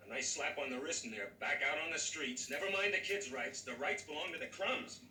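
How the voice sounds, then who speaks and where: Sharp-voiced, troubled American father. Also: fairly high pitch, expressive, a little fast. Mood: angry, bitter. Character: upset, indignant working father of a twelve-year-old boy. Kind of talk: 1960s TV drama acting. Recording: echoey, distant old TV audio, faint noise.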